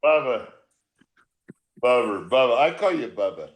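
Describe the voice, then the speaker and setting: A man's voice: mid-range voice, very animated, unhurried. An older man in a casual, profane group chat on a video call, reminiscing like an old baseball manager with blunt, teasing banter.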